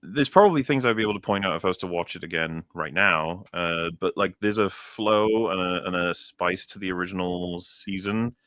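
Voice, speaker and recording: A man's voice: low voice, normal speed, few pauses, a bit bright, no room sound, clean studio sound. A man co-hosting a podcast in casual chat, rambling and often restarting his phrases as he thinks. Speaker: podcast co-host.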